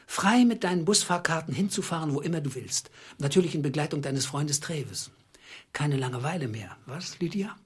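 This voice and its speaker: An actor playing a middle-aged, bitter clerk who speaks in a deliberate, accusing, sarcastic way in a radio drama. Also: male voice, on the high side, lively intonation, slightly rough. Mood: bitter accusation. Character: bitter, calculating small clerk confronting his treacherous wife.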